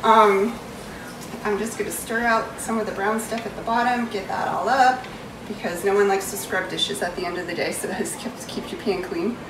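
A woman's voice: mid-range voice, normal speed, background noise. Chatty New England woman cooking at home. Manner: chatty and self-mocking, talks while stirring and pouring. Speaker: home cook hosting a cooking video. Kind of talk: casual cooking demonstration. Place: home kitchen, indoors. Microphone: far camera on a tripod. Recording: roomy kitchen audio from a distant camera. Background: food sizzling in a pan.

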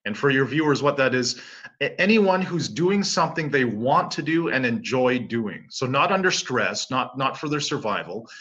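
A man in his forties, from Canada, speaks as a relaxed, self-assured interview guest. His voice is bright and forceful, heard through a dull, lo-fi recording. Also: medium pitch, moderate pace, slightly rough. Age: 40s. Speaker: guest, martial arts teacher. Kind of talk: casual interview.

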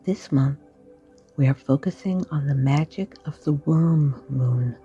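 A woman guiding a sleep meditation in a slow, soft, partly whispered voice. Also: sits low, soft background music. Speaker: meditation guide.

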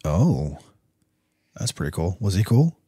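A very low-pitched man, hoarse and losing his voice, hosting a relaxed chat podcast with his children. The sound is close and dry. He talks in an easygoing dad manner. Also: unhurried, dry recording. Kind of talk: casual family chat.

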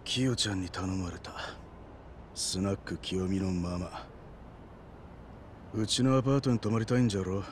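An actor playing a grumpy, jealous local landlord with a Hiroshima accent and a brusque, rough manner in a video game. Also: male voice, low-pitched, moderate pace, long pauses, slight background noise, close to an everyday voice. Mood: brusque, suspicious. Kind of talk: video game cutscene acting.

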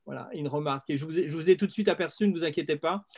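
A man's voice: on the high side, racing, a little mellow, husky. A man moderating an online panel on a video call, polite and hesitant, often repeating words.

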